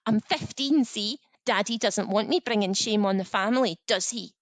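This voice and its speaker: An actress playing a defiant, wounded fifteen-year-old daughter in a naturalistic radio play, insistent and challenging. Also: female voice, mid-range voice, normal speed, dry recording, lightly acted. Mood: bitter challenge.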